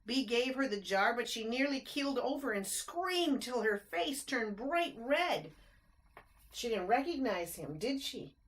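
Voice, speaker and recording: Slow-paced woman reading a children's storybook. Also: on the high side, poor audio. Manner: expressive, explains and asks the listeners simple questions. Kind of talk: read-aloud of a rhyming storybook with chatty asides.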